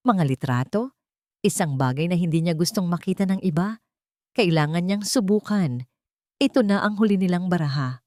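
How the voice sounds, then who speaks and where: A woman narrates dramatic fiction. Her voice sits fairly low for a woman. The sound is dry, with very little room on it. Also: dark tone, no echo. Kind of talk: scripted dramatic story narration.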